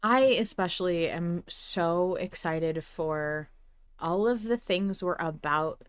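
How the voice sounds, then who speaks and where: A woman with a fairly low voice hosts a podcast in a close, dry sound. She chats casually about fan matters and is effusive and appreciative. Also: takes it slowly, smooth, no echo. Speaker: podcast host.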